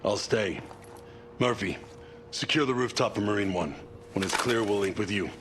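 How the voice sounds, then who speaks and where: An actor playing a squad leader in a game, giving gruff, low-key orders. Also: male voice, normal speed, noisy background, lightly acted. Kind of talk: game cutscene acting.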